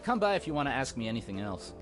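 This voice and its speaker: A man's voice: medium pitch, sing-song, very fast, natural voice. An actor playing an earnest, guilt-ridden young man in a mystery visual novel, speaking casually and emotionally. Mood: regretful, resigned. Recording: game dialogue under loud music.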